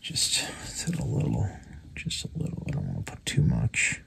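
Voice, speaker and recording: A man giving casual hands-on repair commentary, halting and thinking aloud as he works at an indoor bench. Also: extremely low pitch, huge pitch swings, vocal fry, fairly bright tone. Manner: unscripted, halting, thinking aloud while working. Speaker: radio repairer demonstrating his work. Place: indoor workbench. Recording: noisy workshop recording with clicks.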